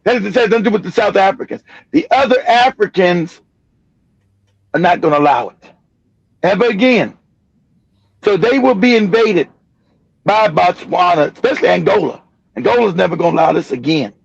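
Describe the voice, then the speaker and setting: A man hosting a livestream, talking in an animated, emphatic way, swearing and repeating himself. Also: sits high, moderate pace, creaky, pauses often, breathy edge, no room sound. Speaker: livestream host. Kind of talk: heated livestream commentary. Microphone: streaming mic. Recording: dry, dull lo-fi stream audio.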